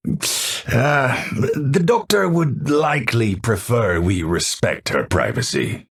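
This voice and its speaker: A man voicing a gentle, absent-minded old scientist in a video game, courteous and formal, with halting speech. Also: mid-range voice, lively intonation, vocal fry, husky, exaggerated voice. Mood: polite, musing. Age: older. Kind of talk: video game character voice lines.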